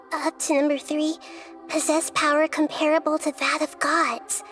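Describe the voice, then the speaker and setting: A woman voicing a gentle young girl deity in a video game, soft and girlish, speaking in a measured, earnest way. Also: high voice. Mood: calm, explaining. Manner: measured, earnest, articulate explaining. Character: gentle young girl god of wisdom, serene, thoughtful. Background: background music.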